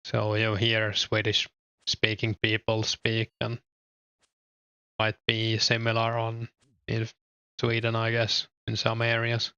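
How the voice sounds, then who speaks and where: A man's voice: on the low side, takes it slowly, smooth, no echo, highs cut off. A young man with a Finnish accent, chatting casually and a bit hesitantly while gaming and reading his chat aloud. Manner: relaxed, hesitant, lots of fillers. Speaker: streamer.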